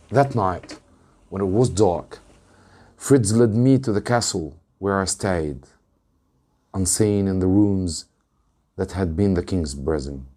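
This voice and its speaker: A man's voice: low-pitched, mellow. A man reads a novel aloud as a teacher, in a dramatic audiobook style. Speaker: English teacher reading a story aloud.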